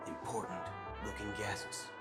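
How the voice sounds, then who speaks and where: An actor voicing a brash, cynical, scheming cowboy ranger in a video game, with loud music under him. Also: male voice, measured pace, lightly acted. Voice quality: low-pitched. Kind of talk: video game dialogue acting.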